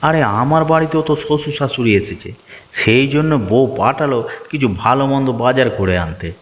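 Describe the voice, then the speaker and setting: A man's voice: medium pitch, fairly quick, big-room sound, close to an everyday voice. A man voicing a hard-up, hesitant and hospitable village husband in a cartoon. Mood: concerned. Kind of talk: Bengali cartoon voice acting.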